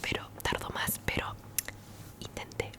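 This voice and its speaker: Whispering woman doing ASMR. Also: unhurried. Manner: repeats words and phrases softly. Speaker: ASMR creator and channel host.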